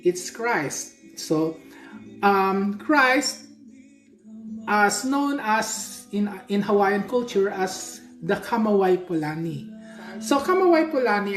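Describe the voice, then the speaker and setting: A Filipino-accented woman teaching a hula workshop, talking at an unhurried, deliberate pace and pausing to ask "okay?". Her voice sits at a middle pitch and rings in an echoey room, with faint music playing behind her. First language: Tagalog. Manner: slow, explanatory, often checking with "okay?".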